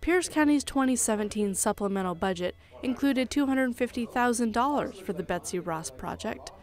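A woman in her forties, a television reporter, delivering a scripted news report in a clear, measured way. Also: a little high, expressive, faint music behind. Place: television studio.

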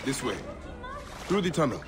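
An actor playing a calm, steady male warrior protagonist in a video game who speaks firmly. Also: medium pitch, heavy background noise, close to an everyday voice. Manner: calm, firm, measured.

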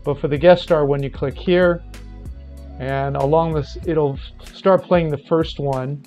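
A man's voice: on the high side, fairly even intonation, a little slow, a little mellow, lo-fi sound, faint music behind. A man hosting a travel channel, asking guests questions and explaining step by step how to find a playlist. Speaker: host. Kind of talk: interview and channel promo.

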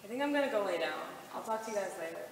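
A woman's voice: medium pitch, animated, rapid-fire, close to an everyday voice. A woman playing an anxious, wounded outcast of an ancient city in a stage drama, with emphatic delivery. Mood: weary, dejected. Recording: echoey hall, far from mic, faint noise.

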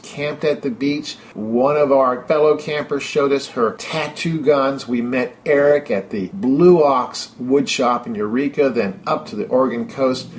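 A middle-aged man narrates a personal travelogue in a medium-pitched voice. His delivery is upbeat and keen, like someone recounting his own trip over a series of photos.

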